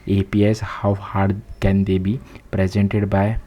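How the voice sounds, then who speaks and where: Man, mellow dark tone, very flat intonation, reading a tech article aloud, faint noise behind. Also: sits low, a little slow. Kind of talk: read-aloud tech news narration.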